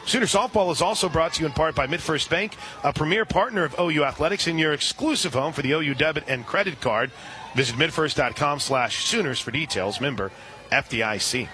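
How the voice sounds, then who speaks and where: Man calling softball on the radio. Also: fairly quick. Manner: steady, informative, reads sponsor spots between pitches. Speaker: radio play-by-play announcer. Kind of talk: radio play-by-play commentary.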